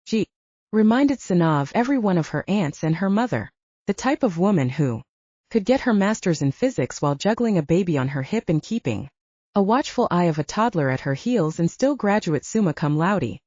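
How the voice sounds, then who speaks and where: A woman's voice: on the low side, lively intonation, moderate pace, darkish tone, no echo, dull audio. A woman reading romance fiction aloud as an audiobook narrator, in a scripted storytelling style.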